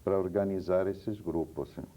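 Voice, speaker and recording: Very deep-voiced Italian-accented man. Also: steady tone, dark tone. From Egypt. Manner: halting, short replies with tag questions. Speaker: interview guest. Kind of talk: hesitant conversational interview answers. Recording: dull lo-fi TV interview audio.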